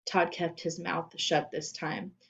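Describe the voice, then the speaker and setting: A woman's voice: fairly low pitch, level tone, slow pace, creaky, fairly bright tone. Woman reading a children's story aloud. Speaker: storybook reader. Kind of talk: expressive scripted narration of children's fiction.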